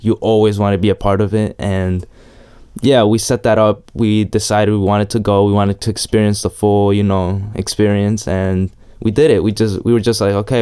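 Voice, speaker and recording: A man's voice: on the low side, mellow, clear, resonant tone. A young man from El Salvador who lives in New York, chatting casually as an interviewee in an easygoing, slangy, hedging way. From El Salvador, long resident in New York. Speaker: interviewee, amateur BMX rider. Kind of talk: casual chat.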